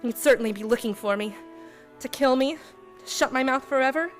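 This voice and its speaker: Radio noir casting: actress as sly young female swindler, high girlish voice, music underneath. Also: brisk, lightly acted. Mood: anxious, scheming. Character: cunning young female con artist posing as schoolgirl. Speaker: actor in a community radio play. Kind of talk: noir radio play, first-person narration and dialogue.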